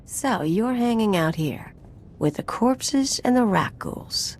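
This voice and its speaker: A woman's voice: fairly high pitch, expressive, slow, slight background noise. An actress playing a blunt, irreverent female companion in a video game, speaking in a casual, wry way.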